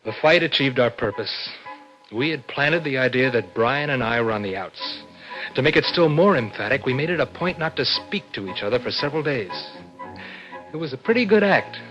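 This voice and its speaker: An actor playing a cocky, scheming young American law student who also narrates an old-time radio drama. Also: male voice, mid-range voice, lively intonation, a little fast, a bit bright, close to an everyday voice. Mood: confiding narration. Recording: dull vintage radio recording with music.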